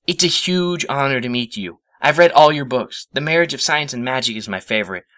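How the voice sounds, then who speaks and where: A man voicing a bookish, excitable young female pony who gushes earnestly and gets flustered, in a storybook reading. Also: medium pitch, a little fast, pitched above the performer's own voice, close to an everyday voice. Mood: starstruck. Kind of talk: storybook character voice.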